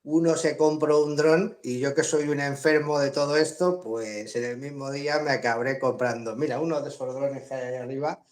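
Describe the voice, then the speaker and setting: A man's voice: medium pitch, fairly even intonation, moderate pace, hardly any pauses. A middle-aged man from Madrid with a Spanish accent, chatting casually as a guest on a live video call and laughing often. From Madrid, Spain. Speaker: guest drone hobbyist. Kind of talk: casual live-stream interview.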